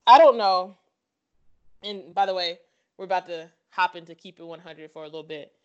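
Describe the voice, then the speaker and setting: A woman's voice: lowish voice, moderate pace, clean tone, dull audio. A woman co-host in casual sports chat, opinionated and repeating herself for emphasis.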